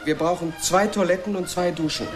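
An actor playing an earnest, methodical architect who speaks considerately in a radio play. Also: male voice, high voice, fairly even intonation, fairly quick, over music, close to an everyday voice. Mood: eager, planning.

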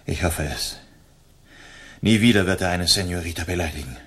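A gallant outlaw swordsman with a fairly low voice. He is proud and defiant, giving formal commands and threats in the manner of a radio play. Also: moderate pace, a bit bright, slightly rough. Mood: grim triumph. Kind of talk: German radio play acting.

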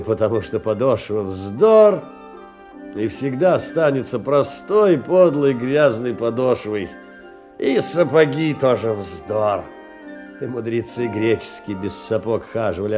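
A man acts out a poor, middle-aged clerk in a radio play, with music underneath. He sits at an ordinary male pitch and speaks effusively, humbling himself with courtly, tender old-world politeness. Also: darkish tone, close to an everyday voice. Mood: rambling self-consolation. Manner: self-abasing, effusive, old-fashioned courtly address. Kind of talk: theatrical reading of letters in a stage radio play. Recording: music behind the voice.

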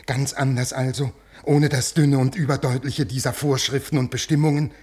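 An actor playing a desperate, worn-out scholar of fifty in a 1950s radio play, pleading earnestly in educated speech with a creaky, husky voice. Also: male voice, mid-range voice, level tone, moderate pace, a little mellow, close to an everyday voice. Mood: weary, imploring. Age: 50s.

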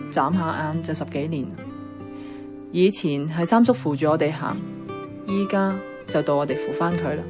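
A woman's voice: on the low side, close to an everyday voice. A woman playing a caring, nostalgic young niece who narrates and acts in a radio drama, with a Hong Kong accent. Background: music.

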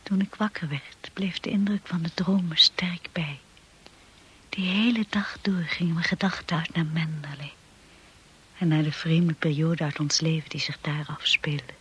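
An actress playing a wistful, shy young woman narrating her memories in a radio drama, hushed, breathy and dreamy. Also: sits low, steady tone, measured pace, muffled recording, full character voice.

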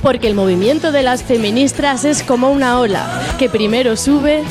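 A woman's voice: sits high, moderate pace, over loud music, voice pushed up, lightly acted. A woman playing a playful, mock-grand presenter of a comic radio segment. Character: bubbly, parodic radio presenter of a feminist comedy segment.

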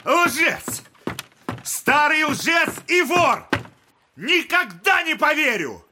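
An actor playing a hot-headed young officer and wronged son in a Russian audio drama, indignant, impulsive and emphatic. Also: male voice, extremely high pitch, sing-song, very slow, background noise, exaggerated voice. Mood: enraged. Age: young adult.

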